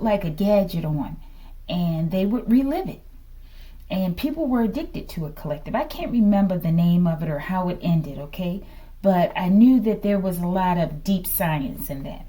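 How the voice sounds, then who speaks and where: Mellow-voiced woman reading tarot cards. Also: fairly low pitch, lively intonation, moderate pace. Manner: repeats rhetorical questions and verbal tags like "okay". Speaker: tarot reader, host of the reading. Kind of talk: improvised tarot card reading to an online audience.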